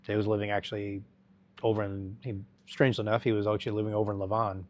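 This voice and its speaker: A middle-aged man telling his life story as an interview guest, halting and rambling with many restarts. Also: on the low side, little pitch movement.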